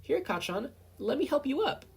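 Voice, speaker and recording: A man's voice: very high voice, sing-song, moderate pace, gravelly, pitched above the performer's own voice, exaggerated voice. A man voicing a meek, polite and earnest small boy in an audiobook-style story. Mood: gentle. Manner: hesitant, stammering when scared or groggy.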